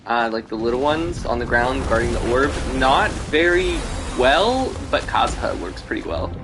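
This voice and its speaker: A man's voice: medium pitch, big pitch swings, normal speed, noisy background. A man chatting casually as he commentates his gameplay on a livestream. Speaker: game streamer. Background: faint game music.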